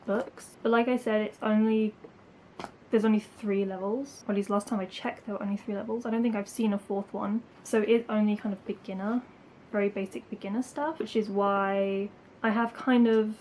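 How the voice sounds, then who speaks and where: A woman's voice: mid-range voice, warm tone, slight background noise. A young woman from England, chatting casually to camera as a language-learning vlogger, full of likes and ums. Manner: chatty, lots of likes and ums, light laughs.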